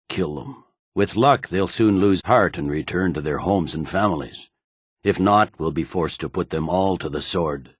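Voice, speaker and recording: An actor voicing a stern, battle-hardened Imperial general in a fantasy video game, with a curt and commanding manner. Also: male voice, sits low, slightly rough, no echo, clean studio sound. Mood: gruff, clipped. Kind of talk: video game character dialogue.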